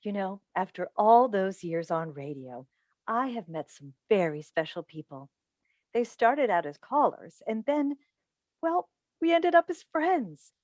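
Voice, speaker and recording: A woman's voice: medium pitch, very animated, measured pace, a little mellow, dry recording. A woman playing a driven, needling mother and broadcast advice host in a staged play reading over a video call. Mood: gracious, showy. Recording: dry video call recording.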